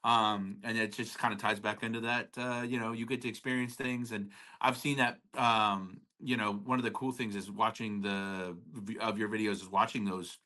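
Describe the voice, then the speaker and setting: A man's voice: lowish voice, flat delivery, moderate pace, few pauses, pushed and bright. A man co-hosting a casual music podcast, talking in a rambling, slangy way and calling his partner "baby". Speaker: podcast co-host. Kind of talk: casual chat.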